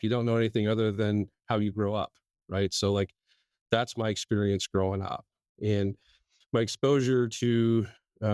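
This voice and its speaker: A low-voiced man from Iowa, a city manager, talks through his past in a steady, reflective way, pausing often with "uh" and "so." His voice sits close and dry on the mic. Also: dry recording. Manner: steady, reflective storytelling with fillers. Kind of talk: casual interview.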